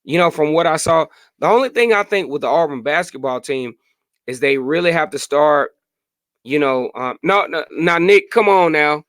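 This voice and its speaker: Hoarse man chatting on a sports live stream. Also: a little high, normal speed, dry recording. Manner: animated, chatty, reads and answers viewer comments. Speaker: podcast host.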